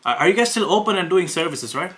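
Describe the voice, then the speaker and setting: Man, Indian accent, young adult, upbeat hesitant sales talk, bright forceful tone. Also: animated, fast talker. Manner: hesitant, many fillers and restarts, upbeat sales pitch. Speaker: web design agency owner making cold calls. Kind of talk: casual vlog talk and sales calls.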